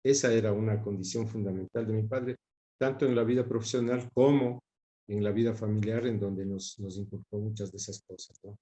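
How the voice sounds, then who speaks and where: Mellow older Ecuadorian man, reflective. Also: lowish voice, fairly even intonation. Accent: Ecuadorian, Quito. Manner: measured, pausing and repeating words while searching for them. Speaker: interview guest recalling his father's career. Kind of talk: reflective conversational interview.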